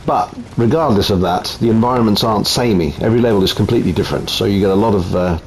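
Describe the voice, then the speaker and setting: Italian man, lowish voice, relaxed game review at home, faint room hum. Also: quick pace, low-quality recording. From Italy. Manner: relaxed, chatty, frequent ums. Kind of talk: casual gameplay commentary.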